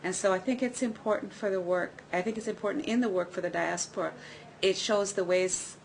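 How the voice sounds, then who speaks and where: A woman's voice: mid-range voice, fairly even intonation, fairly quick, creaky, a little hiss or hum, low-quality recording. A woman artist from Grenada explaining her work in an earnest, explanatory way. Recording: faint background ambience.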